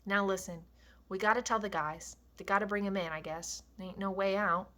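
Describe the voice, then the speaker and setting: A woman's voice: mid-range voice, steady tone, fairly quick, sharp tone, lightly acted. A woman reading as a weary ranch worker. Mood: urgent. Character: worried protective male ranch worker.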